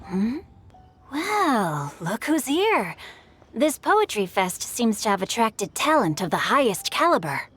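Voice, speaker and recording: A scholarly teenage boy from an animated game. The voice is fairly high, and the phrasing is florid, courteous and a little sly. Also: slow, faint music behind, heavily acted. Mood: polite. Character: bookish, mannered, theatrical teenage boy, teasing noble scholar.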